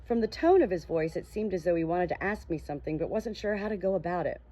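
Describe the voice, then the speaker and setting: A woman's voice: lowish voice, lively intonation, fast talker, dark tone. A woman reading a first-person novel aloud as an audiobook narrator. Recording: dull, muffled lo-fi audio.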